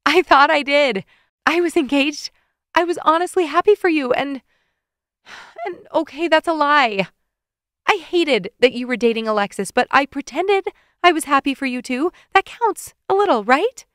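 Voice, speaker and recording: A flustered, heartbroken young woman, as a female narrator puts her on, pushed higher and tighter than the reading voice, blurting her feelings in teary rushes. close, dry sound with no room. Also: animated, a bit bright, no echo. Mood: upset. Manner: emotional, tearful outbursts.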